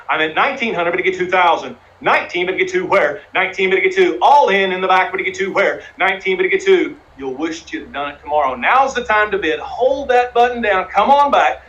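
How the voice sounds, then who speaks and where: A man running a charity auction, rattling off bids in a rolling chant and urging bidders to click once more. His voice sits high and rings bright and tense. He keeps the numbers coming without a break. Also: dull audio. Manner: fast rhythmic number calling, coaxing bidders. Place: live streamed fundraising event.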